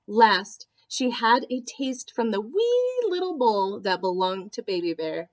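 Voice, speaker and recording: A woman reading a children's story aloud like a teacher, with expressive, deliberate storytelling. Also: a little high. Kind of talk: storybook read-aloud narration with asides.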